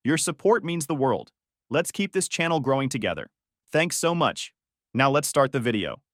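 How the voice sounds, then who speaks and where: A man's voice: medium pitch, a bit bright, no echo, studio quality. A man reading out a reddit story in an upbeat storytelling way, his voice dropping at the ends of sentences. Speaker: narrator. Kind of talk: scripted narration of a reddit story.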